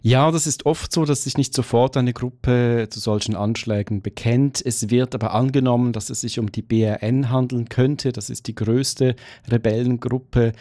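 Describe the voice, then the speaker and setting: A man's voice: mid-range voice, fairly even intonation, normal speed, few pauses, mellow, no room sound, studio quality. A man working as a foreign correspondent, explaining events in a radio news interview.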